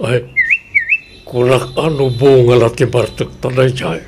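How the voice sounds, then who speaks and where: A man acting as a mild, hesitant older suitor in a comedic radio drama, talking in a halting, reluctant way. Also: medium pitch, level tone, noisy background, natural voice. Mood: unsure.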